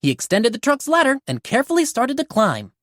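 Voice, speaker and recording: Very animated woman reading a children's story. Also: on the high side, fairly quick, dry recording, clean studio sound. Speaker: storybook reader. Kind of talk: animated children's read-aloud narration.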